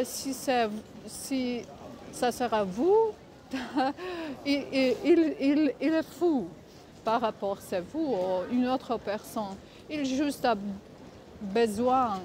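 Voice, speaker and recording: A woman speaking French that is not her native language, giving casual advice in a vlog, halting often to search for words. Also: on the high side. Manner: halting, many filler pauses, searching for words. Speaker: vlogger.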